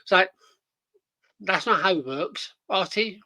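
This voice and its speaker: Slow, bright-voiced podcast host. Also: medium pitch, animated, dry recording. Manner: slow, rambling, profane, many fillers. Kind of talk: casual reaction chat.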